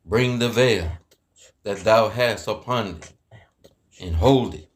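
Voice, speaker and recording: Man acting slow, honourable, reassuring kinsman. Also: fairly low pitch.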